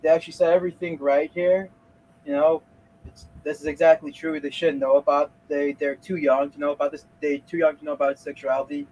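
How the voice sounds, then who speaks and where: A man hosting a reaction video, speaking in halting bursts with many restarts. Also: fairly high pitch, flat delivery, darkish tone, muffled recording, a little hiss or hum. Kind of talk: casual reaction commentary.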